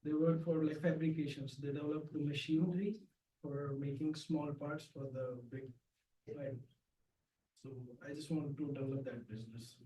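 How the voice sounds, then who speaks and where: A man's voice: fairly high pitch, fairly even intonation, normal speed, mellow. Man, casual, in a distant echoey room. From India. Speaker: graduate student. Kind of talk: self-introduction in a class. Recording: roomy, distant, faintly noisy room.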